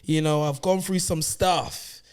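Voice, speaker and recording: A man's voice: fairly high pitch, steady tone, a little slow, clear, resonant tone. A young man hosting a podcast, asking questions and encouraging his co-host in an easygoing way. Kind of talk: casual chat.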